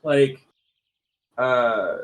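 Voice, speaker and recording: Slow-talking man with a Californian accent. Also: medium pitch, muffled recording. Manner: easygoing, laughs often. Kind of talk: casual chat on a livestream.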